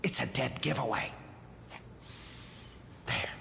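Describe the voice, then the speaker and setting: A man's voice: mid-range voice, slow, gravelly, sharp tone, a little hiss or hum, full character voice. A middle-aged actor playing a nervous, secretive man who stammers and evades in a mid-century TV drama. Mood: gently chiding. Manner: hesitant, evasive, stammering. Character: nervous, secretive man obsessed with his wax murderer statues. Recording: vintage TV soundtrack with music.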